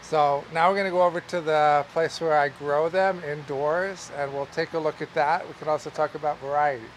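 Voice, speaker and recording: A middle-aged man, a market farmer, explaining how he grows tomatoes in a relaxed, rambling way with many fillers, recorded in a large greenhouse by a camera some distance away. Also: fairly high pitch, moderate pace, a little hiss or hum. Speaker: market farmer teaching growing. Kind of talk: casual on-camera farming lesson. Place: large greenhouse among tall tomato plants. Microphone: camera at a distance, wide shot.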